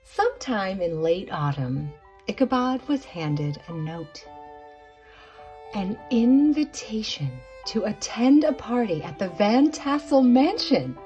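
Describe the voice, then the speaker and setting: A woman narrating a storybook tale for a puppet show in a theatrical, expressive way, savoring the words. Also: medium pitch, slow pace, lo-fi sound, soft background music.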